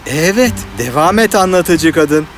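A man's voice: a little high, expressive, unhurried, slight background noise. A man playing a polite, romantic young hero in a fairy-tale cartoon, earnest and pleading. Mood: obliging. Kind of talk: animated fairy tale acting.

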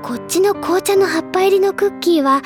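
A cheerful senior high school girl in an audio drama. Her voice is extremely high, and she speaks expressively, with dreamy, teasing playfulness. Music plays clearly behind her. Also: a little fast. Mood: dreamy delight. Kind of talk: Japanese audio drama acting.

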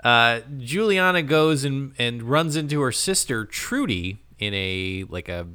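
A man's voice: mid-range voice, sing-song, unhurried, pushed and bright, clean tone. A man co-hosting a casual podcast, recapping a show in a playful, joking way. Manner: joking, playful, laughs often. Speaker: podcast co-host.

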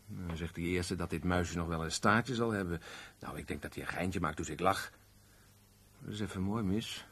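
A man's voice: deep voice, moderate pace, fairly bright tone, slightly rough. An actor playing a sullen, grumbling middle-aged tradesman husband in a naturalistic radio play, aggrieved and plain-spoken. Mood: indignant. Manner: grumbling, plain colloquial speech.

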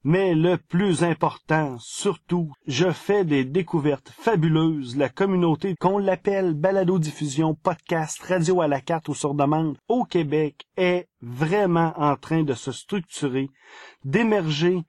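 Man, Quebec French accent, podcast segment presenter, very unhurried pace, dry close sound. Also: on the high side, a little mellow, no room sound. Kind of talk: scripted podcast news segment.

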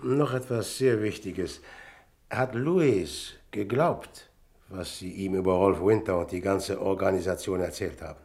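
An actor playing a composed police investigator who questions tersely and considerately in in a radio crime drama. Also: male voice, sits low, measured pace, a little mellow. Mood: probing, cool.

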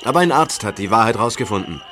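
A male actor playing a blunt, practical adult animal keeper with a brisk, plain-spoken manner in an audio drama. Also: lowish voice, expressive, crowd noise, natural voice. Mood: dismissive. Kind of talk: German audio drama dialogue.

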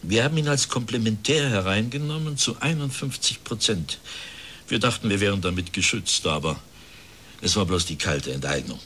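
A man's voice: lowish voice, normal speed, fairly bright tone, slight background noise, natural voice. An actor playing a resigned factory director in his fifties, speaking formally and plaintively in a radio drama. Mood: earnest, explaining. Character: bitter, resigned plump factory director of fifty-one.

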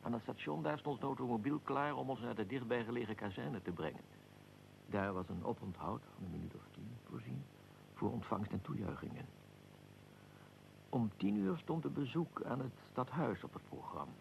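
An actor playing a dignified archduke in a radio drama, recounting events in a measured, formal, deliberate way. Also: male voice, medium pitch, lively intonation, creaky, a little hiss or hum, rough recording. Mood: measured recollection. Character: archduke, dignified aristocrat recounting his own assassination. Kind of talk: radio drama, recollection in interview form.

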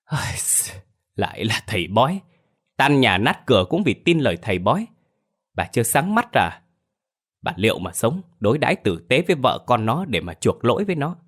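A man's voice: low-pitched, moderate pace, close to an everyday voice. A man voicing an elderly father who is stern and reproachful yet tender toward his widowed daughter-in-law.

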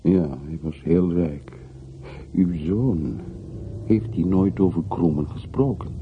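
A man's voice: low-pitched, takes it slowly. Brooding, sardonic man, muffled old recording. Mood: reserved, probing. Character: bitter, sardonic man revisiting his wealthy childhood town. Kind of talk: radio play acting. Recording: muffled, noisy old radio play recording.

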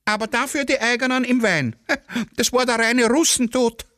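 A man playing a brisk, boastful Austrian general in a satirical radio play, talking in an animated colloquial way. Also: extremely high pitch, no echo, clean studio sound, pitched above the performer's own voice. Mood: mocking admiration.

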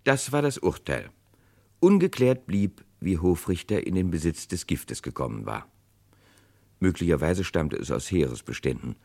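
An actor narrating a 1960s radio crime play as a grave chronicler, with dramatic, deliberate delivery. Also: male voice, deep voice, sing-song, gravelly, a little mellow, full character voice. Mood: grave, reflective. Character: grave male chronicler of a historic murder case.

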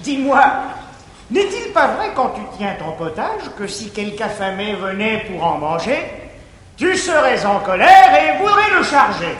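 A slow, high-voiced peasant valet. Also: male voice, big pitch swings, heavily acted. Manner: slow, drawn-out, declaiming verse loudly. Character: simple-minded male peasant valet, slow, emphatic. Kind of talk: 1950s stage verse comedy. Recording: roomy theatre recording with hiss.

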